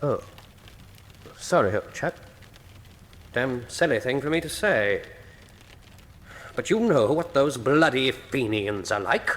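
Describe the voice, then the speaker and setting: Blustery British police chief, swooping delivery. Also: male voice, mid-range voice, expressive, slow pace, pauses often. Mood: awkward, indignant. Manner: brusque, pompous, old-fashioned gentlemanly speech. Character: blustery, sceptical senior British police chief, Sir George. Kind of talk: period radio drama acting. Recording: long hall reverb.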